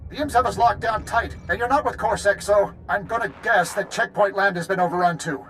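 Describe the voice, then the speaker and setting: A man's voice: sits high, fairly quick, a bit bright. A man playing an embattled, loyal squad leader in a video game, speaking in an emphatic military manner. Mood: urgent, exasperated. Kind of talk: cinematic video game voice acting. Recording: music behind the voice.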